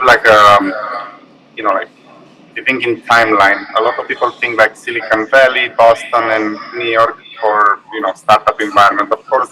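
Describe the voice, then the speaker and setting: Low, flat-voiced man rambling over a call. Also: low-quality recording. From Romania. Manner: loose, rambling, many fillers. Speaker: expert panelist. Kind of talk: remote conference talk.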